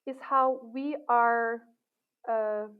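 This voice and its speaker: A middle-aged woman from Brazil with Portuguese as her first language talks in an animated, chatty way, breaking into laughter and restarting her thoughts. Her voice sits a little high. The sound is thin and tinny, as over a phone line. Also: extremely slow, slight background noise. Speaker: guest, assistant professor. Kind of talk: casual interview chat.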